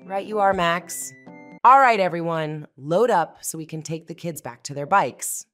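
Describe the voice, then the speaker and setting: A woman's voice: fairly low pitch, unhurried, fairly bright tone. A woman voicing a confident, caring young woman who leads a cartoon hero team in a comic dub. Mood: earnest, encouraging. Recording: music playing under the voice.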